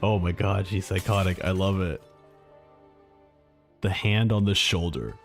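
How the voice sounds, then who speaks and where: A man's voice: sits low, a little slow, gravelly, soft background music. A man chatting casually and thinking aloud as a live streamer reacting to game trailers. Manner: rambling, informal, thinking aloud.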